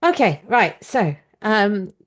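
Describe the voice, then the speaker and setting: A woman's voice: mid-range voice, very animated, slow pace. A woman hosting a podcast interview, conversationally offering her own opinions and questions.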